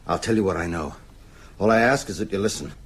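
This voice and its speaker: An actor playing an earnest, worried older high school teacher in a radio drama, speaking gravely and deliberately. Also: male voice, fairly bright tone, slight background noise. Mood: grave, urgent.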